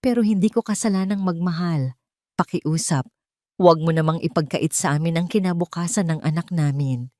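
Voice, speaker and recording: A woman's voice: fairly low pitch, fairly quick, a little mellow, dry recording, clean studio sound, natural voice. A woman voicing a tearful young pregnant mistress, pleading pitifully with a trembling manner in an audio drama. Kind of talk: Tagalog audio drama storytelling.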